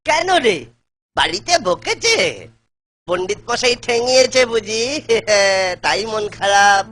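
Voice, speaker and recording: A man's voice: sits high, animated, takes it slowly, bright, forceful tone, heavily acted. A man voicing a clever, avuncular older village jester in a comic cartoon, coaxing and playful. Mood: concerned, coaxing. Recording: music behind the voice.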